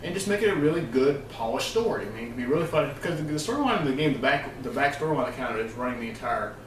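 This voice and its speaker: A man's voice: mid-range voice, sing-song, racing. A man chatting casually and unscripted about his opinions as a video co-host, rambling and emphatic. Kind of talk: casual unscripted gaming discussion. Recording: roomy, distant mic, faint noise.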